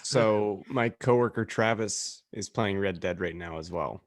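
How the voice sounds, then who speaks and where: Lowish-voiced man in chatty podcast talk. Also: a little slow, dry recording, studio quality. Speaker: podcast co-host. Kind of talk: casual comedic podcast chat.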